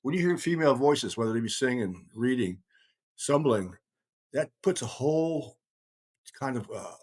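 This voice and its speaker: A man's voice: mid-range voice, expressive, moderate pace, a little mellow, breathy edge. A middle-aged man from the United States talking casually in a rambling, emphatic way, repeating phrases for stress. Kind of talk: casual conversational talk.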